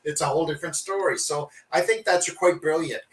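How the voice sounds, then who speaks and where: A man's voice: on the high side, brisk. A man hosting over a video call, chatting and retelling anecdotes to his guest. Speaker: host. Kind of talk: casual chat. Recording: roomy, distant from the mic.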